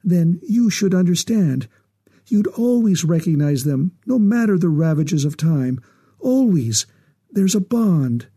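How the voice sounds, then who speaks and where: A man's voice: high-pitched, sing-song, unhurried, mellow, breathy edge, dry recording, clean studio sound, voice pushed up, heavily acted. A man voicing a tearful, anxious mother grieving for her lost son. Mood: pleading.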